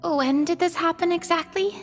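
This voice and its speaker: A woman's voice: very high-pitched, moderate pace. An actress playing a considerate, observant young woman in a video game, polite and articulate. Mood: curious. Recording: long reverb, music underneath.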